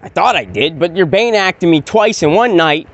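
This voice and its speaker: A man in his forties, recorded on a handheld phone outdoors at night, argues with a high, lively voice. He talks in a rambling, repetitive and confrontational way. His pitch swings up and down as he pushes his point. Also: moderate pace, highs cut off. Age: 40s. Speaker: citizen filming police. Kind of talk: heated street argument.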